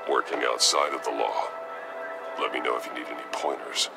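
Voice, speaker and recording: An actor playing a grim, terse masked vigilante in a video game, with a gravelly, measured voice and steady mentoring manner. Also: male voice, extremely low pitch. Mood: dry. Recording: music underneath.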